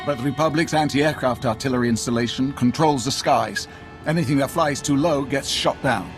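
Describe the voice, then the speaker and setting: Casting: male actor as haughty, impatient military commander, clipped and authoritative, medium pitch, sci-fi game with faint score underneath. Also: a little fast, over music, close to an everyday voice. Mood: briskly commanding. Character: brusque, commanding Imperial military officer, proud and impatient. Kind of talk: video game cutscene acting.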